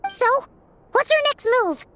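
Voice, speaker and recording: A woman voicing a tiny, cheerful, caring fairy companion in a video game, with a squeaky childlike voice. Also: measured pace, slight background noise. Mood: eager. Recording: loud music behind the voice.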